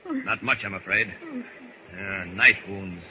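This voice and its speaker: A man's voice: on the low side, slow pace. A man playing a confident, decisive superhero who talks through his actions aloud, in old radio serial style. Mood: brisk, musing. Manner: narrates own actions aloud. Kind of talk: 1940s radio serial acting. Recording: noisy, dull old radio recording.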